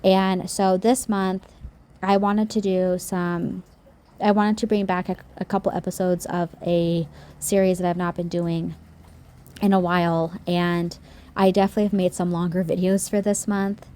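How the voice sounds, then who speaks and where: A woman's voice: on the low side, little pitch movement, moderate pace, dark tone, smooth. A woman recording a casual nail-art video voiceover. Her voice is slightly scratchy from a sore throat, and she talks in a chatty, rambling way with frequent restarts.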